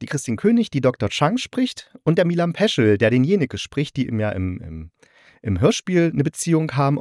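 A man's voice: medium pitch, a little mellow, no echo, clean studio sound. A man hosting a podcast, giving a casual, chatty and enthusiastic review in colloquial language. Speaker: podcast host and radio play reviewer.

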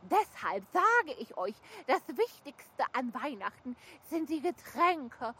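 A woman's voice: high voice, sing-song, takes it slowly, fairly bright tone, exaggerated voice. A woman playing a boastful, pompous Easter hare in a children's audio play. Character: boastful Easter hare fond of boozy drinks.

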